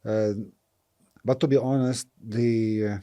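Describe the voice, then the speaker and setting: A middle-aged man from Lebanon, a property investor, answering interview questions thoughtfully with many pauses and fillers. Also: mid-range voice, steady tone, mellow, smooth. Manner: thoughtful, hesitant with frequent fillers.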